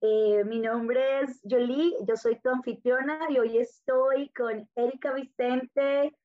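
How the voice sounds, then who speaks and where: Female interview host, higher-pitched voice, conversational delivery, thin phone-line audio. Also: fairly even intonation, takes it slowly.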